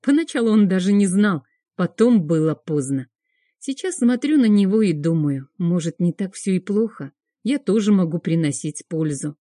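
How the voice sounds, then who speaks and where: Woman for first-person fiction narration: warm, mellow tone, very dry close studio sound. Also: lowish voice, lively intonation, moderate pace, no echo. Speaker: audiobook narrator.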